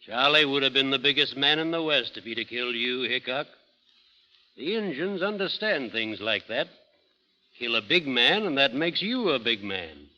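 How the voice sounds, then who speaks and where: An actor playing a sly, taunting Western outlaw gambler with clipped, needling delivery in 1930s radio style. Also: male voice, mid-range voice, animated, normal speed, sharp tone. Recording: old muffled radio recording.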